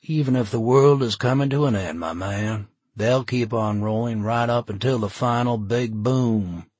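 Audiobook role: aging hippie doomsayer, played by a male narrator, sardonic and easygoing, clean smooth close sound. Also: mid-range voice, measured pace, a little mellow, no room sound. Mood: laid-back, amused. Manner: drawling slang, smiling, sardonic. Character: laid-back aging hippie man, sardonic, cheerful doom prophet.

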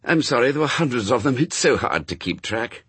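A scholarly angel from a storybook, acted by a male reader, with a raised, lively voice. He is fussy and apologetic, fretting over details and correcting himself as he goes. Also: mid-range voice, a little fast, husky, no room sound, pitched above the performer's own voice. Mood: fretful musing. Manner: fussy, fretful, self-correcting.

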